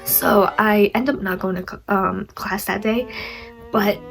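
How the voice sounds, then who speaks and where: A woman's voice: mid-range voice, fairly even intonation, measured pace, creaky, a bit bright, soft background music, rough recording. A young woman vlogger with a stuffy nose, telling a story in a chatty, rambling way, full of "like" and self-mocking asides. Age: young adult. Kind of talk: casual storytime.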